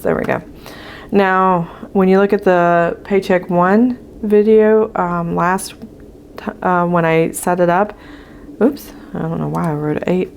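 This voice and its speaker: A woman with a hoarse voice, casually walking viewers through her budget sheets as a channel host, with frequent ums as she writes. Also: fairly low pitch, darkish tone, a little hiss or hum. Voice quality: gravelly. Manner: chatty with frequent ums, talking while writing.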